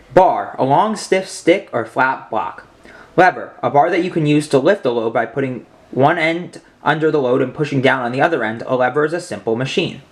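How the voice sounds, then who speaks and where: A young man reading aloud and explaining simple science for children as a host. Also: mid-range voice.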